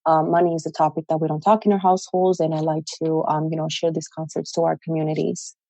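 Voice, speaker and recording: Woman in her twenties, dark tone. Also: sits low, level tone, quick pace, no echo, low-quality recording. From El Salvador. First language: Spanish. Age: 20s. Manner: many fillers, earnest and plain-spoken. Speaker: podcast guest. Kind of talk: casual interview.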